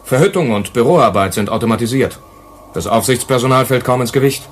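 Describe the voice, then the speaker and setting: An actor in a German radio play, playing an earnest colony manager who explains things carefully. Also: male voice, mid-range voice, brisk, slight background noise, natural voice. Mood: concerned. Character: earnest male colony manager explaining grim working conditions.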